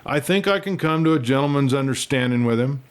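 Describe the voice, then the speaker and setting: A man acts a genteel doctor in a western storybook. His voice is of medium pitch, and he speaks formally and precisely, with dry humor and a jaunty air. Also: expressive, a little fast, clear, resonant tone, close to an everyday voice. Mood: wry, cheerful. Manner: formal, dryly humorous phrasing.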